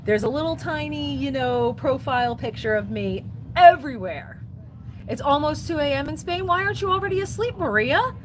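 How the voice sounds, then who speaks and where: A woman's voice: high-pitched, expressive, moderate pace. Chatty upbeat woman over background noise. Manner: chatty and upbeat, often saying "so yeah". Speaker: record store owner livestreaming. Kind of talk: casual livestream chat, reading viewer comments. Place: inside a record store. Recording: noisy background.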